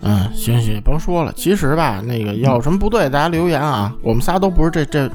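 A man's voice: medium pitch, lively intonation, fast, loud music behind the voice. Blunt, joking man with a mid-range voice. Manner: blunt, joking, stammering repetitions. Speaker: podcast co-host. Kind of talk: casual chat.

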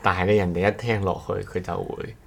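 A man speaks in a low-pitched voice as a podcast co-host. He chats casually, with a measured, explanatory way of talking. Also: fairly even intonation, creaky, a little hiss or hum. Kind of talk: casual chat.

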